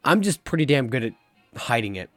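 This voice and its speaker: A young adult man hosting his own podcast, talking in a rambling, confessional way, his voice a little hoarse as it recovers. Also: mid-range voice, very animated, fairly quick, no room sound. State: slightly hoarse, recovering lost voice. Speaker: podcast host. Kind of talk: casual solo podcast talk. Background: faint jazz music.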